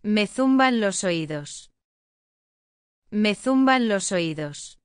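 A woman reads short lesson phrases, saying each one twice with careful enunciation. Her pace is very unhurried and her voice is smooth and crisp. It is recorded dry on a close mic. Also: medium pitch, animated, dry recording. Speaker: language lesson reader. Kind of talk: slow repeated phrase reading.

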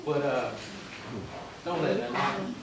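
A man's voice: mid-range voice, unhurried, close to an everyday voice. A middle-aged man acting on stage as a protective, street-smart father. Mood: concerned. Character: protective, gruff-talking father of a grown daughter. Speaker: stage actor. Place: indoor theater stage. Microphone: far camera. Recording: very echoey theater, distant mic, audience noise.

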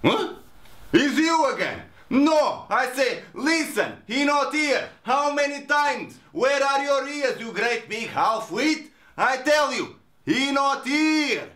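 An actor playing a flustered hotel waiter, speaking broken English and stammering excitedly. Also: male voice, very high voice, slow, exaggerated voice. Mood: exasperated. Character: flustered male hotel waiter, eager and confused. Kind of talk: sitcom acting. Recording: roomy with faint hiss.

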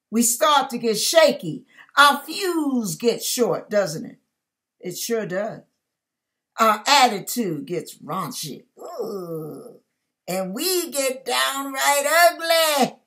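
An older woman giving an informal devotional talk to camera, conversational and emphatic, often asking rhetorical questions. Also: on the high side. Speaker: online ministry host. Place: furnished room at home.

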